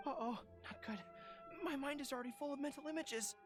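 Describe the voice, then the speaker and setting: An earnest young general from a video game, acted by a woman, speaking in a high voice with dramatic resolve and a flustered streak. Music plays softly behind the lines. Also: fairly quick, close to an everyday voice. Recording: game audio with background music.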